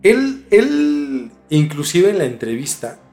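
Higher-pitched Mexican man, casual storyteller. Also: sing-song, a little mellow. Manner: informal, halting, mildly profane. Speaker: podcast host.

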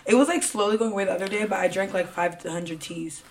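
A young woman at brunch two days after losing her voice, raspy and hoarse, still cracking blunt jokes to her friend. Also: medium pitch, quick pace, crowd noise. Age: 20s. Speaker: podcast co-host. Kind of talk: casual chat.

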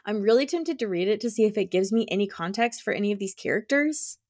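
A woman's voice: medium pitch, expressive, quick pace, a little mellow. Casual, effusive woman chatting about books. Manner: informal and effusive, heavy use of "like". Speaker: podcast co-host; school teacher. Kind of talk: casual book chat.

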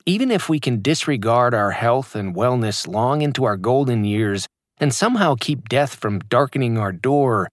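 A man narrates his own motivational fitness audiobook, hitting key words hard with an emphatic, punchy delivery. His pitch sits mid-range but moves a lot. The recording is dry and close, with no room sound. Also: no echo. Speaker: author reading his audiobook.

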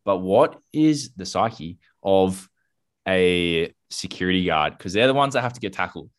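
A man's voice: sits low, expressive, unhurried. A young Australian man co-hosting a podcast, musing aloud in casual, slangy chat. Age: young adult. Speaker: podcast co-host.

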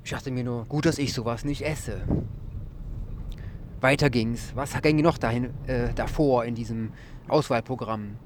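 A man's voice: medium pitch, a little slow, a little hiss or hum. Man telling a wry podcast story. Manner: wordy, mildly ironic storytelling. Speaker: podcast host. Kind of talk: storytelling monologue.

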